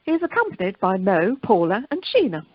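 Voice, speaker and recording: A woman's voice: mid-range voice, sing-song, brisk, husky, no room sound, dull audio. A woman acting as the narrator of a radio play, reading out the stage action. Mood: narrating. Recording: amateur telephone conference recording.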